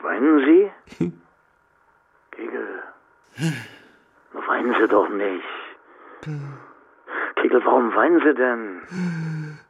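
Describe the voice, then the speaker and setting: Through a thin telephone line comes an older curator in a radio drama, slow and measured. He is a concerned colleague who likes to correct and gently mock. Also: medium pitch, animated, breathy edge, heavily acted. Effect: telephone filter.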